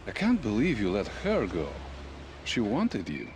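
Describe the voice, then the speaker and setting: An actor playing a sardonic, indignant Russian police captain in a video game cutscene, with a sarcastic, theatrical manner and a Russian accent. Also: male voice, on the low side, wildly swooping pitch, measured pace, noisy background, heavily acted.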